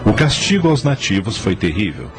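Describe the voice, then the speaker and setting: A man's voice: fairly low pitch, very animated, moderate pace, over music. A man narrating a radio drama in a scripted, storytelling style.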